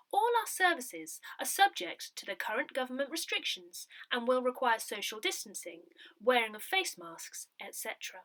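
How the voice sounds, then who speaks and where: A woman reading a scripted community newsletter aloud, with careful, clearly phrased delivery. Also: mid-range voice, bright, forceful tone. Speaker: newsletter reader.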